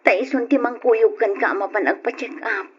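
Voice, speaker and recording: Husky, anxious radio drama mother. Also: a little high, normal speed, darkish tone. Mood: worried. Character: caring, anxious mother and wife, weak from illness. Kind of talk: old Ilocano radio drama acting. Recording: dull, lo-fi old radio recording.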